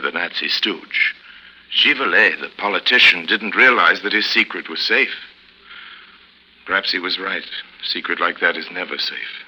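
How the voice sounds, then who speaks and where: An actor playing a suave newspaper columnist, delivering a deliberate, reproachful monologue in an old 1950s radio drama. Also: male voice, deep voice, bright, forceful tone, exaggerated voice, rough recording. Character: suave newspaper columnist grimly recounting a politician's ruin.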